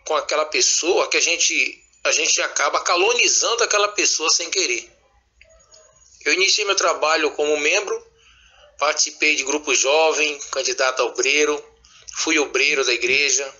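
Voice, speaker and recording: A man tells of his past as a pastor in an earnest, reflective way, pausing and restarting as he goes. His voice is husky and slightly congested from a cold, with a very bright, cutting tone that sits a little high. The audio is dull and lo-fi, like a played-back voice message. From Salvador, Bahia, Brazil. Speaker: former pastor giving testimony. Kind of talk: recorded spoken testimony addressed to a listener.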